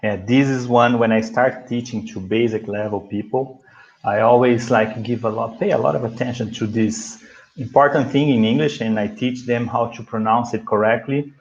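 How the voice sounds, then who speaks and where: Brazilian English teacher, slightly dull audio. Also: male voice, medium pitch, steady tone, normal speed, slight background noise. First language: Portuguese. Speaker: English teacher hosting a guest chat. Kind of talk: casual teaching chat.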